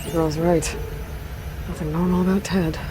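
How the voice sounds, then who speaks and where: A woman voicing a determined young explorer in a video game, musing to herself in a dryly wry, low-key way over loud music. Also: level tone, moderate pace, lightly acted. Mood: musing, wary. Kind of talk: video game voice acting, muttering observations to herself.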